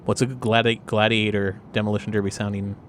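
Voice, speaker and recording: A man's voice: on the low side, little pitch movement, fast, a little hiss or hum. A man chatting casually and improvising as a player at a tabletop role-playing game, sometimes swearing.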